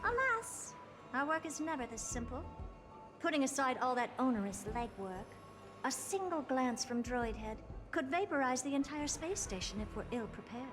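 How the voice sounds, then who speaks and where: An actress playing a haughty, playful genius scientist in a video game, self-assured, dismissive and theatrical in manner. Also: female voice, sits high, expressive, moderate pace, over music. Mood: wry explaining. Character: haughty, playful genius woman scientist who builds puppets.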